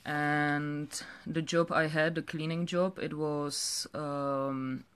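A young woman with a Czech accent tells her personal story off the cuff, halting and repeating words. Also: deep voice, level tone, slight background noise. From Czech Republic. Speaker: direct sales director telling her story. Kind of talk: unscripted personal story to camera. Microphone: phone or webcam held close.

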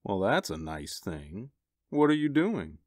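A man's voice: on the low side, very animated, a little slow, a little mellow, no room sound, studio quality. An actor playing an easygoing, affectionate husband and father in a radio drama. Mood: genial.

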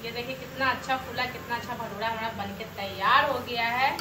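A woman in her thirties chatting excitedly to her viewers while filming a home cooking vlog on a handheld phone in her kitchen. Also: on the high side, fairly quick, background noise. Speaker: vlogger. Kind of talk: casual cooking vlog chat. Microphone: handheld phone camera. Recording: echoey kitchen with clattering pots.

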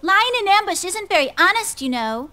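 A woman's voice: extremely high pitch, sing-song, moderate pace, heavy background noise. An actress playing a cheerful, motherly female ninja in a video game, playful and chiding. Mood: playful scolding. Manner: bubbly, chiding. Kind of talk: video game English dub acting.

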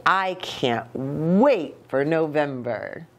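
Animated American woman reading to children. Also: deep voice, very slow. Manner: expressive, child-directed, asking questions. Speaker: children's story time host and pastor. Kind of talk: read-aloud storytelling to children. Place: small indoor reading nook with bookshelf and curtains.

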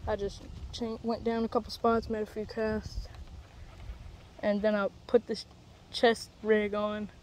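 A man's voice: high voice, monotone, measured pace, darkish tone, low-quality recording. A young teen boy, chatting plainly through a fishing vlog outdoors by a pond. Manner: chatty, plain, explains as he goes. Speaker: vlogger. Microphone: handheld camera, shifting distance. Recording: outdoor noise, camera mic.

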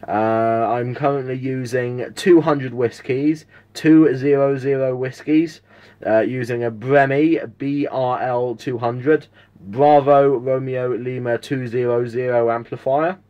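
A man's voice: mid-range voice, fairly even intonation, a little slow, muffled recording. A man with a southern English accent making casual CB radio contact, friendly and repeating details as an operator. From United Kingdom. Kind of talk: casual radio contact with call signs and spelling. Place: indoors, at a home radio setup.

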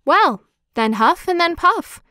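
A woman's voice: very high voice, big pitch swings, slow pace, pushed and bright, no room sound, clean studio sound, voice pushed up, full character voice. A woman voicing a small, cheerful young male piglet in a storybook, with a perky sing-song manner. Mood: cocky, defiant. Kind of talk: storybook character voice.

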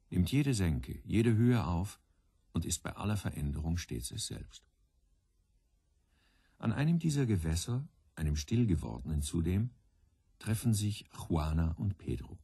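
A man playing a reflective storyteller in a radio play, with contemplative, deliberate phrasing. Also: sits low, big pitch swings, creaky, warm tone, husky, no room sound, clean studio sound, full character voice. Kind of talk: literary radio play narration.

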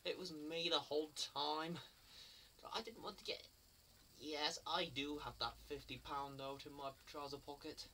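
A man's voice: on the high side, steady tone, moderate pace, pushed and bright. Guy playing a silly sheepish bungler, flustered comedy chatter, bit far from the mic, faint noise. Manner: flustered, chatting to himself and his pets. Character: silly, excitable man, sheepish comic bungler. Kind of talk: comic character monologue.

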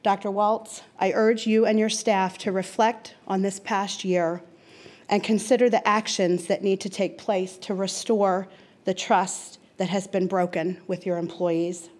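A middle-aged woman, a teacher, reads a prepared public comment with measured emphasis at a microphone in a large board meeting room. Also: mid-range voice, level tone, a little mellow. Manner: earnest, measured, emphatic lists. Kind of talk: reading a prepared statement at a podium. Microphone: seated at a desk mic.

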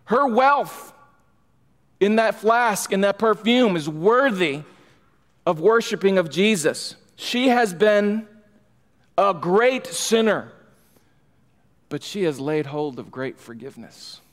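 Middle-aged man, pastor, emphatic pulpit preaching, slightly high voice, church hall reverb. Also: animated, takes it slowly, long pauses. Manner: emphatic, rising in fervor, gestures while preaching. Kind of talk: expository sermon. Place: church sanctuary, large room indoors. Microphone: pulpit microphone.

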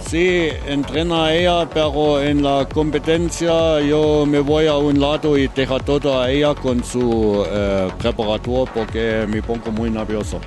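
A man's voice: mid-range voice, little pitch movement, unhurried, few pauses. A middle-aged man from Germany, the racer's father, answering briefly and modestly outdoors. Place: outdoors by a fountain. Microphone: interviewer's handheld mic. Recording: music bed under voices.

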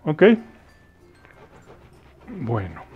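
A man's voice: very slow, narrow, tinny audio, soft background music. A man with a Mexican accent casually demonstrating a cleaning product in a kitchen, explaining to viewers as he works, recorded on a phone. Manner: relaxed, explanatory, addresses viewers directly. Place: kitchen, indoors. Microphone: phone camera.

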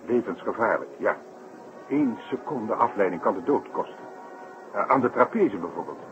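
A deep-voiced, worried circus director acted by a man in an old radio play. He talks formally and with indignant emphasis. Music plays behind him on a dull recording. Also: lively intonation, normal speed, natural voice. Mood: bitter, worried. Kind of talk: old Dutch radio drama acting.

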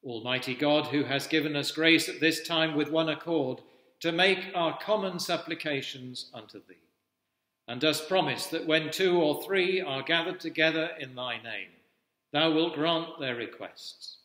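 Slow, solemn older priest in a reverberant church. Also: male voice, on the high side, sharp tone. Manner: solemn, measured, formal. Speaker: Anglican priest leading evening prayer. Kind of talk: liturgical reading of traditional prayers. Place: indoors at a wooden-panelled cathedral altar. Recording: echoey church with long reverb.

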